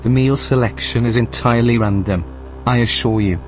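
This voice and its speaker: A man performs a male AI running a remote station in an audio drama. The voice is medium in pitch, formal and measured, with veiled menace under its politeness. Faint music sits behind it. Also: natural voice, poor audio. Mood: calm, polite.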